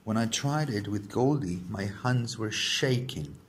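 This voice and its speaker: A man reading a children's story aloud in the first person, with deliberate, dramatic phrasing. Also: lowish voice, a little hiss or hum. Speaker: story reader.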